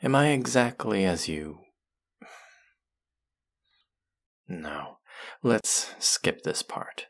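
A low, unhurried villain voice, acted by a man for a fantasy audio roleplay. He is menacing and mocking, a vengeful genius who picks his words deliberately and speaks with intimate, sardonic threat. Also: lively intonation, gravelly, heavily acted. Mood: cold menace. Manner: slow, deliberate, sardonic, dropping into whispers.

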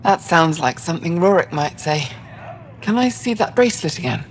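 A female alien survivor in a sci-fi game, wary and wounded. The voice is on the low side, reserved and halting, with faint ambience behind. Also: big pitch swings, a little hiss or hum, poor audio. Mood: softening, hesitant. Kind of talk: video game dialogue.

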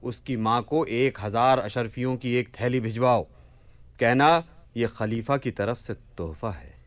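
An actor playing a bewildered young merchant taken for a caliph, with blustering, theatrical delivery in a radio play. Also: male voice, mid-range voice, a little slow, dry recording, lightly acted. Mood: commanding. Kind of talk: radio play acting in theatrical Urdu-flavoured dialogue.

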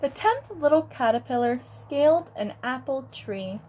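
A woman's voice: sits high, animated, a little slow, a little mellow, slight background noise, low-quality recording. A young woman reading a picture book aloud to children, adding exclaiming, casual asides as a teacher would. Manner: exclaiming, child-directed commentary.

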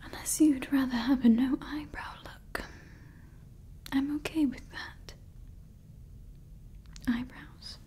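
A woman voicing a doting female evil scientist in an ASMR roleplay, whispering softly close to the mic and fussily repeating her words. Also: sits high, steady tone, slow, long pauses. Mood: fussy, doting. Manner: soft whispering, repeats words fussily, calls listener darling. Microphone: close ASMR microphone.

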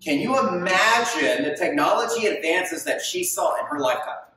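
A man's voice: high voice, very animated, normal speed, bright, forceful tone. A man in his thirties preaching a sermon, emphatic and asking the congregation questions as he gestures on stage. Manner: gestures widely while preaching. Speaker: pastor. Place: stage of a large indoor hall. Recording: very echoey hall, mic far off.

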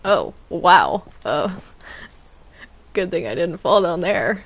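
An excitable, stammering online gamer chatting casually in character during a roleplay game. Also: medium pitch, takes it slowly. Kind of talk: casual in-character roleplay chat while gaming.

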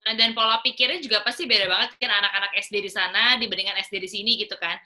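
Very bright, quick young Indonesian woman. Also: mid-range voice, fairly even intonation. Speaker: interview show host. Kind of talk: casual chat, interviewing. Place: small room indoors.

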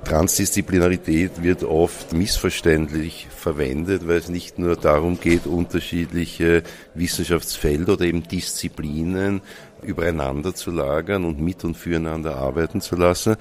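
Male researcher, low voice, careful and deliberate explainer. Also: fairly even intonation, darkish tone. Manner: deliberate, defining terms carefully. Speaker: researcher speaking at an event. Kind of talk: explanatory academic statement.

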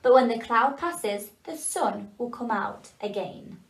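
Woman doing a wise, gentle woodpecker. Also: a little high, measured pace, echoey room. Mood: reassuring. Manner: calm, reassuring explanations. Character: wise, kind, patient woodpecker.

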